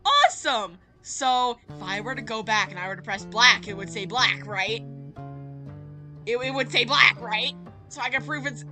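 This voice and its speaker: A woman live-streaming a visual novel, reading it aloud and commenting in an expressive, theatrical, playfully exasperated way. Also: a little high, normal speed. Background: loud guitar game music.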